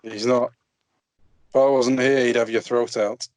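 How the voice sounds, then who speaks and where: A man's voice: medium pitch, level tone, unhurried, darkish tone, no echo, lightly acted. A man reading the part of a proud, guarded young nobleman's bastard son in an amateur fantasy table read. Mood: defiant.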